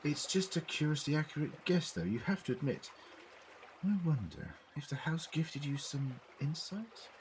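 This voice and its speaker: Guy playing eccentric time traveler doctor, lively swingy voice, musing and curious, bit of noise behind, audio drama. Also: a little high, moderate pace, natural voice. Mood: inquisitive, musing. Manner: inquisitive, musing, precise.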